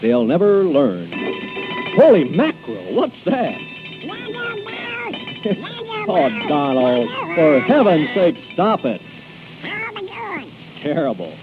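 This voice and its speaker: Male 1930s radio emcee: theatrical, expressive, slightly high voice, comic banter and announcements, tinny vintage broadcast. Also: slow pace, long pauses, music in the background. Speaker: radio show host and announcer.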